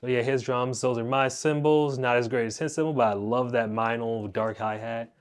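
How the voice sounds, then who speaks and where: A man's voice: medium pitch, steady tone, normal speed, clean tone. Laid-back young man rambling about gear. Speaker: guitar teacher and channel host. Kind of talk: casual gear rundown. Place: home music room. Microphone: wireless clip-on mic into phone.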